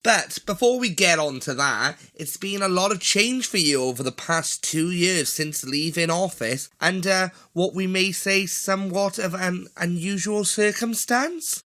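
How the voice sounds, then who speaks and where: A man's voice: sits high, a little slow, few pauses, sharp tone. A man playing a camp, cheeky TV chat-show host in an audio drama, with an effusive showbiz delivery. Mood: gushing.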